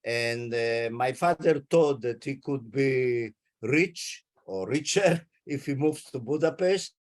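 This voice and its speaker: Man in his eighties, native Hungarian speaker, very slow pace, deliberate, interviewee recounting family history. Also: mid-range voice. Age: 80s. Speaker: interviewee, Holocaust survivor. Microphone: video call.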